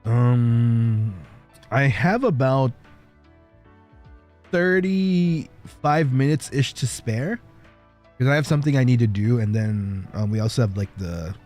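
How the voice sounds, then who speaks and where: A man livestreaming in a playful, casual way, chatting and reading stories aloud with a husky voice. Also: medium pitch, takes it slowly, long pauses, darkish tone. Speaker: livestreamer, virtual streamer. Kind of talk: casual livestream chat and fan fiction reading. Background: background music.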